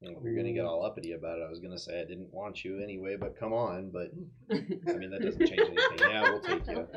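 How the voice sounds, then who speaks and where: A man's voice: deep voice, wildly swooping pitch, quick pace, vocal fry, dull audio. A man playing a tabletop role-playing game, chatting casually in character in a blunt, wry way.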